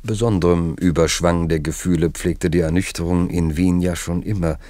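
An actor narrating a radio drama as a measured, literary chronicler with dry irony. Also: male voice, low voice, steady tone, dark tone, no echo, close to an everyday voice. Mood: measured, ironic.

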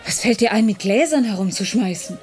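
An actress in a radio play voicing an exasperated wife, sharp, mocking and impatient. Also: female voice, mid-range voice, lively intonation, a little fast, soft background music, natural voice. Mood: annoyed. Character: exasperated, scolding, sarcastic wife. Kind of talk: German radio play acting.

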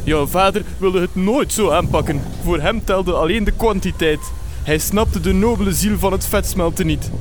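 An actor playing a genteel, sentimental and pompous master fat-renderer with a Flemish accent in a radio comedy drama. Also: male voice, sits high, normal speed, few pauses, over music, natural voice. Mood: pompous, pleased.

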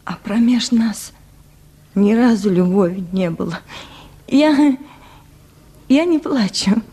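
Radio play peasant wife: timid, pleading, higher-pitched, unhurried and halting. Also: female voice, dark tone, slightly rough, exaggerated voice. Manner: halting, plaintive, submissive. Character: meek, lovelorn peasant wife, pleading and tearful. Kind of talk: Russian radio drama acting.

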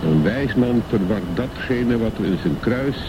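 An actor playing a worldly old private detective in a radio drama, deliberate, laconic and sardonic. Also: male voice, on the low side, animated. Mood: wry, knowing. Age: older. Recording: clear background noise.

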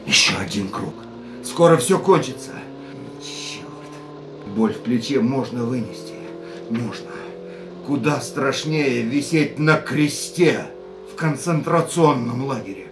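A tormented male race driver in a radio play, thinking aloud in brooding fragments. His voice is creaky and sits back from the mic in an echoing space, with strong music around it. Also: mid-range voice, expressive, slow. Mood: strained, enduring. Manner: brooding, broken phrases, muttered curses. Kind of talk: radio drama inner monologue. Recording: echoey, distant, under loud music and engines.

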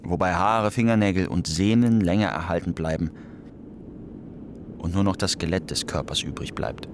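A sober, clinical lecturer in an audio drama. The low voice delivers scripted scientific narration deliberately and matter-of-factly, over faint noise. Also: male voice. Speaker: narrator and lecturer in a radio play.

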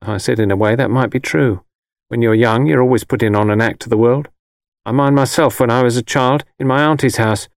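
A man's voice: mid-range voice, a little fast, dry recording, clean studio sound, voice pushed up, lightly acted. A man voicing a stern, grey-haired working-class mother, blunt and disapproving, in an audiobook story. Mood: blunt, knowing. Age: older. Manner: blunt, disapproving, dialect turns of phrase.

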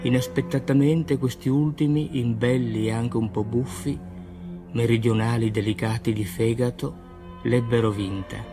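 A man giving a solemn poetry recitation, declaimed in measured short phrases. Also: medium pitch, steady tone. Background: music.

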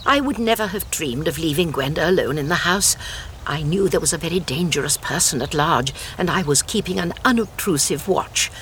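A genteel old English lady sleuth in a radio play. She is mild, precise and gently self-effacing, with a shrewd mind under the good manners. There is faint noise in the recording. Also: low-pitched, a little fast. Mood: calmly explaining. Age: older. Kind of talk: British radio drama acting.

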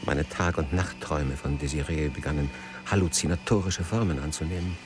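A man's voice: very deep voice, brisk. An actor playing a melancholy, jealous middle-aged poet in a radio drama, brooding and literary in his phrasing. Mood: bitter, reflective. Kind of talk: radio drama narration and intimate dialogue.

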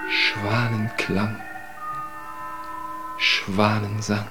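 A man's voice: on the low side, flat delivery, very slow, over loud music. A man playing a bitter, grieving knight. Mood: mournful. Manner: bitter outbursts, then weary lament. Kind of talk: radio drama acting.

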